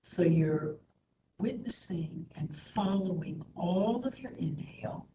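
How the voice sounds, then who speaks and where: A woman instructor leading a guided meditation in a soothing, gentle manner, with hushed phrase endings. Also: lowish voice, expressive, slow pace. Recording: roomy echo, faint background noise.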